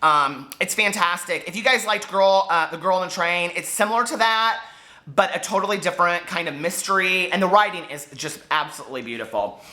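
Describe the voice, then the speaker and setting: A man chatting casually to camera as a book vlogger, gushing and animated, wandering off on tangents with lots of filler words. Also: high-pitched, fairly quick. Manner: gushing, digressive, peppered with fillers.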